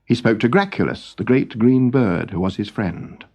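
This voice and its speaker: A man reading a scripted children's story in a measured, expressive storytelling manner. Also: lowish voice.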